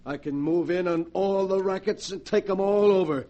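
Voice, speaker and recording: A man's voice: high-pitched, moderate pace, mellow, muffled recording, natural voice. A man acting a ruthless, cocky gangster in 1940s radio drama, talking tough in menacing racketeer slang. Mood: exultant. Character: ruthless, cocky gangster who believes himself invincible.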